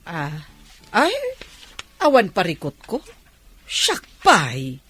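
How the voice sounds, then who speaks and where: A woman's voice: lowish voice, wildly swooping pitch, drawn-out words, fairly bright tone, faint music behind, full character voice. An actress with a Filipino accent playing a lonely older village woman who puts on a brave face in a radio drama. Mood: flustered, defensive.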